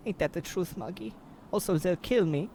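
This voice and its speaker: A man's voice: sits high, measured pace, darkish tone, slight background noise. A man playing a melodramatic, proud Bavarian boxer with a German accent in a comic radio play. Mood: distraught. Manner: pompous, overwrought, flowery. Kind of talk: old-time radio play parody.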